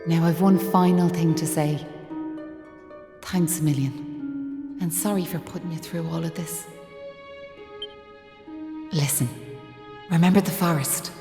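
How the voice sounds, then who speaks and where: A woman voicing a grave, self-sacrificing young woman with an Irish accent in a video game, with echoing reverb and music behind. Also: fairly low pitch. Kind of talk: dramatic video game voice acting.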